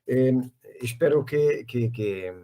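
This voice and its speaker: A Portuguese-accented man, Portuguese by first language, speaks Spanish slowly as an interview guest. His pitch is average and his delivery is halting, full of stammers and self-corrections. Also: mellow. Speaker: guest professor. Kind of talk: academic interview.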